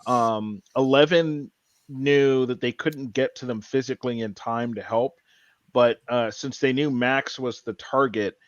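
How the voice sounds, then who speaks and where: A man co-hosting a podcast, casually recounting a show in an earnest, halting way. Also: medium pitch, no room sound, muffled recording. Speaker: podcast co-host.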